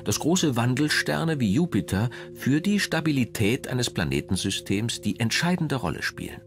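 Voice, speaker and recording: A man narrating a scripted documentary in a measured, dramatic storytelling manner. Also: mid-range voice, sing-song, a little hiss or hum.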